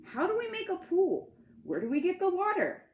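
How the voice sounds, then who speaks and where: A woman's voice: extremely high pitch, wildly swooping pitch, normal speed, dull audio, a little hiss or hum, pitched above the performer's own voice, full character voice. A woman voicing an earnest, inquisitive little boy from a children's storybook, wide-eyed and questioning. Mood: curious. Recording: roomy, echoey sound.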